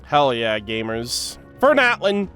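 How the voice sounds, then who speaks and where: A young man giving casual, slangy reaction commentary on a game, with a playful, excitable manner. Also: medium pitch, takes it slowly, soft background music.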